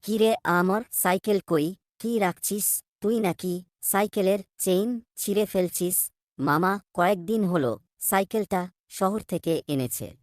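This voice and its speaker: A woman's voice: mid-range voice, unhurried, no room sound, studio quality, lightly acted. A woman voicing an inquisitive, sceptical young boy in casual cartoon dialogue. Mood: challenging.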